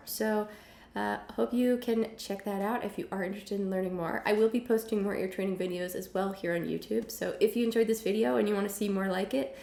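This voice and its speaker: Young woman music teacher, hoarse and gravelly, casual chatty delivery, echoey home room. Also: medium pitch. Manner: relaxed chatty with many ums, coughs now and then. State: hoarse from illness. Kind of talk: casual online lesson. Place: home room with piano and guitars.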